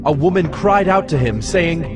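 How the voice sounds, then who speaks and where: A man narrating scripture as a scripted story in a solemn, measured manner. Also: a little high, lively intonation, over loud music. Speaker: Bible narrator.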